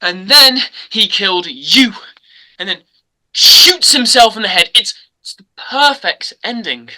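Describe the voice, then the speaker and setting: Woman doing a psycho young slasher guy, bright edgy voice, slow mocking taunts, horror table read. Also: mid-range voice, lively intonation, low-quality recording. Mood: gloating. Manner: halting, drawn-out, taunting delivery. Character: unhinged young male slasher killer, mocking and menacing. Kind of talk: dramatic script table read.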